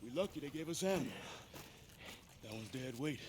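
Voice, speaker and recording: An actor playing a blunt, scornful army private in a video game cinematic. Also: male voice, medium pitch, lively intonation, moderate pace, natural voice. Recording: heavy battle noise behind the voice.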